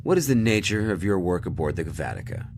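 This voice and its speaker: A man playing a stern deep-voiced captain. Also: very animated, darkish tone, slight background noise. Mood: measured. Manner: firm, measured, commanding. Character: stern male starship captain, authoritative. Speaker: ship captain. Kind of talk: audio drama acting.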